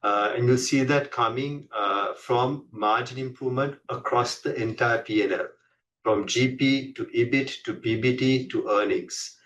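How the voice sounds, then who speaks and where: A man presenting on an earnings call as a company executive, explaining in a measured corporate way. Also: medium pitch, fairly bright tone, distant mic, low-quality recording.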